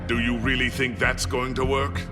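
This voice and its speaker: A man's voice: mid-range voice, flat delivery, normal speed, music in the background, natural voice. An actor playing a skeptical, impatient townsman in a dramatic video game.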